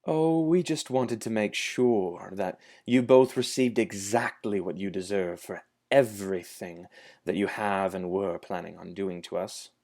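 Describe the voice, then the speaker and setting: An actor playing a loyal, firm and dryly vengeful young knight in an audio drama. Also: male voice, low voice, measured pace, darkish tone. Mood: smug.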